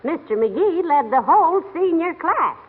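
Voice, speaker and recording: A woman's voice: very high-pitched, expressive, unhurried, phone-quality sound. A woman playing a patient, dryly teasing middle-aged wife in 1940s radio comedy, on an old radio recording. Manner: dry, wry, gently sarcastic.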